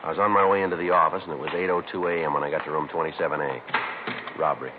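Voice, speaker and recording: A man's voice: very deep voice, fairly even intonation, rapid-fire. An American man playing a terse, businesslike police detective sergeant in a 1950s radio drama, clipped, understated and matter-of-fact. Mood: flat, factual. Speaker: lead actor and narrator. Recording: tinny, noisy 1950s radio recording.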